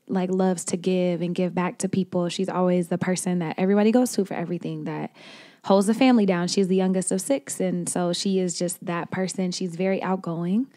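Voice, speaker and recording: A woman's voice: lowish voice, warm tone. A young woman from Oklahoma, a podcast host sitting as guest, talking candidly and playfully with some slang in a casual interview. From Oklahoma, USA. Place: small studio, on a couch. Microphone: studio mic on a stand, headphones.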